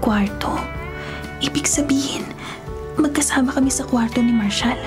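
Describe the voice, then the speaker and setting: Casting: female actor as coy young heiress, smitten and sweet, higher voice, radio romance over music. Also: normal speed, close to an everyday voice. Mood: nervous musing. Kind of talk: Tagalog radio drama acting with inner monologue.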